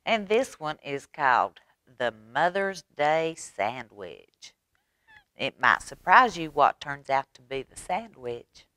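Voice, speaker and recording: Animated, unhurried woman reading a storybook. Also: sits low, dry recording. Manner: animated, unhurried, chatty asides to listeners. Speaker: librarian reading aloud at storytime. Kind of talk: expressive picture-book storytime reading for young children.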